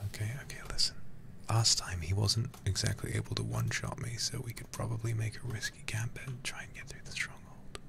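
A man streaming a game ASMR-style, murmuring casual, sweary commentary in a hushed, whispery, gravelly voice close to the mic. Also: on the low side, big pitch swings, a little fast, few pauses.

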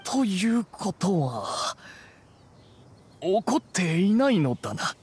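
Dignified old-time immortal, game style, slow solemn grand delivery, slight background noise. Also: male voice, high voice, big pitch swings, full character voice. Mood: cautious. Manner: solemn, declamatory, archaic phrasing. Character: grave, dignified ancient immortal adeptus man. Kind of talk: dramatic game voice acting.

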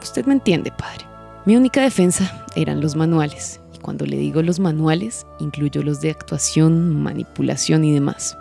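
Cold vengeful woman, dark gravelly voice, radio drama confession. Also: deep voice, moderate pace, soft background music. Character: cold, calculating, vengeful female businesswoman confessing crimes. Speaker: actor in an audio drama. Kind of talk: scripted dramatic monologue.

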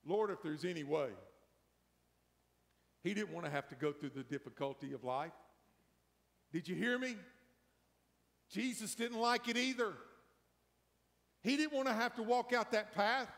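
High-voiced pastor preaching in a reverberant church. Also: male voice, unhurried, gravelly, pauses often. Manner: preaching with rising emphasis, then hushed prayer. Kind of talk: sermon and closing prayer. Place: large church sanctuary, empty pews. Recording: long church hall reverb.

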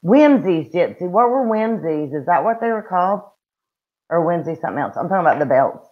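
A woman's voice: on the low side, very animated, a little fast. A middle-aged woman with a Southern US accent, co-hosting a true-crime livestream from home in blunt, folksy casual chat over a webcam. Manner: chatty and blunt, folksy slang. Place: room at home. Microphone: webcam on a video call. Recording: dull lo-fi video call audio.

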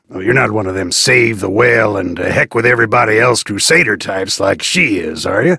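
A gravelly folksy old-salt fisherman. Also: mid-range voice, pushed and bright, no echo, clean studio sound, full character voice. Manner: folksy, drawling, chuckling. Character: gruff folksy middle-aged male fisherman. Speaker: game character. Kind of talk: video game voice acting.

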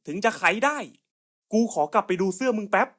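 A man's voice: sits high, fairly quick, a little hiss or hum. A man voicing scripted cartoon puzzle skits in casual, slangy, coarse buddy-style banter.

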